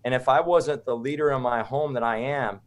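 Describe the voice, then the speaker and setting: A man's voice: mid-range voice, fairly even intonation, a little fast. A man from the United States speaking as an interview guest, informal, opinionated and rambling. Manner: informal, rambling, checks in with "right?".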